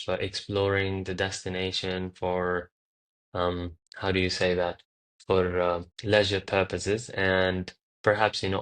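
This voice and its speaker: Man, from Qatar, interview guest, low voice, monotone, hesitant, searching for words. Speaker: guest, tour company founder. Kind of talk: casual interview talk.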